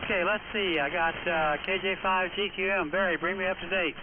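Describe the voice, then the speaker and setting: A man with a moderately high voice runs a ham radio net in a casual way. He comes through a narrow, tinny signal with clear noise. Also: fairly even intonation, fairly quick. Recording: noisy narrowband shortwave radio transmission.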